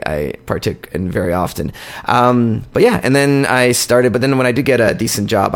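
A man, co-hosting a podcast, telling a long, halting story in casual chat. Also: deep voice. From born in Poland, raised in Canada. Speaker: podcast co-host.

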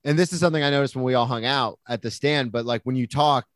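A man's voice: mid-range voice, quick pace, no echo, studio quality. A man hosting a casual interview, easygoing and chatty, asking loose questions and dropping in short yeahs and okays. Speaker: interviewer, podcast host.

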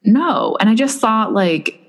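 A woman's voice: fairly high pitch, animated, slow pace, gravelly. A young woman in casual chat, telling a personal story in a rambling way with many fillers and restarts. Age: young adult. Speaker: blogger recounting personal experience.

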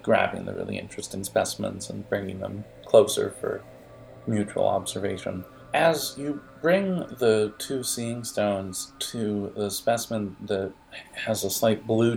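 A man improvising narration as game master in a halting, digressive manner, with music behind the voice. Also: on the low side, gravelly, a little mellow, rough recording.

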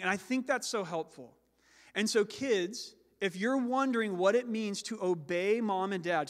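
A man, a father, preaching a sermon in a loud, carrying voice, slowing down to stress key words. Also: high voice, big-room sound. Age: adult with children. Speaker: pastor.

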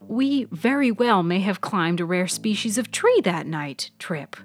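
A woman voicing an excitable young female intern in audio fiction, words gushing out with eagerness. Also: mid-range voice, unhurried, slightly rough, faint music behind. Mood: hushed excitement. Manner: unconscious, gushing stream of words. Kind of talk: audio fiction character reading.

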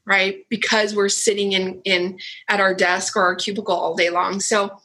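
Bright, husky-voiced woman author. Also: mid-range voice, little pitch movement, moderate pace. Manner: earnest, effusive, many restarts and fillers. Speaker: author and workshop leader, interview guest. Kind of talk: casual interview answers.

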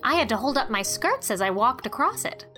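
A gossipy village matron tells her tale in a high, shrill, indignant voice, as a woman narrator puts her on. Her pitch swoops with outrage, and faint music plays underneath. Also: very animated, a little fast, sharp tone.

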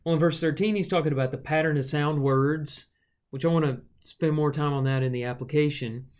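A man's voice: fairly high pitch, steady tone, brisk. A man co-hosting a casual Bible discussion, thoughtfully explaining scripture with a touch of self-deprecating humor. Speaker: Bible study co-host.

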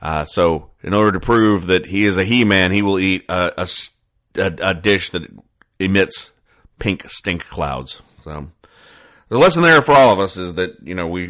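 Man, slightly low voice, casual podcast host, wry, mock-earnest, halting conversational delivery. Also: a bit bright. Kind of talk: casual comedic retelling of newspaper comics.

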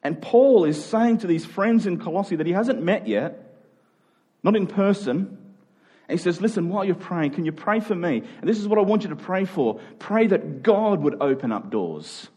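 A man's voice: sits high, animated, moderate pace, dark tone, breathy edge. A man preaching a conversational sermon in an informal but emphatic way, repeating key phrases to drive them home.

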